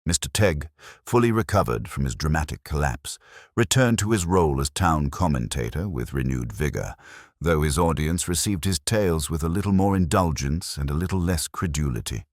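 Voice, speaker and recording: A man's voice: rumbling low, animated, normal speed, dry recording, clean studio sound. A man narrating a mystery story in a wry, stately manner. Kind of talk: scripted audiobook narration.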